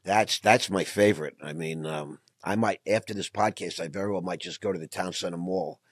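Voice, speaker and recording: A man hosts an interview podcast with a low, husky voice. He is eager and effusive, often stumbling over repeated words. The sound is dry and close. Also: a little fast, no room sound. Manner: enthusiastic, effusive, repeats words when excited. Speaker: podcast host. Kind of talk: conversational interview.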